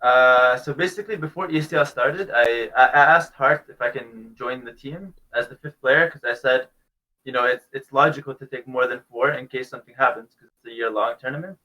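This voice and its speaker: A man's voice: medium pitch, brisk, pushed and bright, rough recording. A young man from Canada, answering interview questions over a video call in a laid-back way, chuckling now and then. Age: young adult. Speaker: guest.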